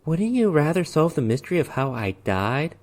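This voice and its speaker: A man's voice: a little high, big pitch swings, normal speed, a little mellow. A man voicing a coy, flirtatious female ghost in a storybook reading, pouting and playing innocent.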